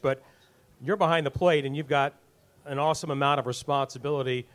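Guy hosting a fan panel, kinda high voice, jokes around between questions. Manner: chatty, jokey questioning. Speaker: panel host.

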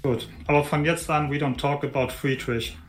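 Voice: medium pitch, fairly even intonation, moderate pace, faint music behind. A player in a tabletop roleplaying session, playful and jokey, dropping English phrases into the talk. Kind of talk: casual tabletop roleplay chat.

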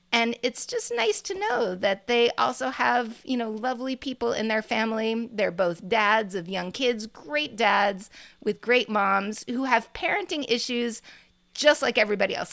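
A middle-aged woman with a bright, slightly gravelly voice talks casually on a podcast. She wanders through her points and laughs often. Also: a little high. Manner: chatty, meandering, laughs often. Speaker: podcast co-host.

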